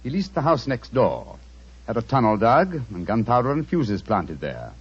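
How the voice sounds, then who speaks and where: A brisk, incisive English detective in a vintage radio mystery. His voice is slightly husky and swoops expressively, clipped and urbane, on a dull old recording. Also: mid-range voice, huge pitch swings. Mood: dryly composed. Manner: clipped, authoritative, dryly urbane. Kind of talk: 1940s radio drama acting. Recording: muffled 1940s radio recording.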